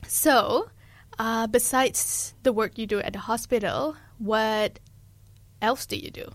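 A young woman interviews a guest in a higher, lighter voice, recorded dry and close. She keeps it friendly and casual, laughing often and dropping in short replies. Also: slow, no room sound.

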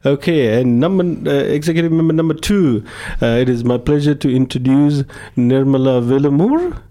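A man's voice: medium pitch, animated, normal speed, warm tone. A man hosting a live community radio interview in a studio, effusive and given to repeating words for emphasis. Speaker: radio host.

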